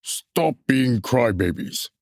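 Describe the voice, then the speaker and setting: A man's voice: mid-range voice, animated, slow pace, no echo, clean studio sound. A man playing a dismissive, smug patriotic official in a theatrical puppet show.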